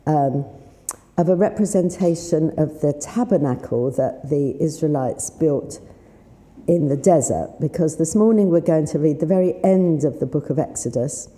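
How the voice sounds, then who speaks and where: A woman with a slightly husky voice, leading a synagogue service in a chatty, unhurried way with fillers. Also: low voice, dark tone. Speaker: service leader. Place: synagogue sanctuary. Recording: roomy sanctuary sound with faint noise.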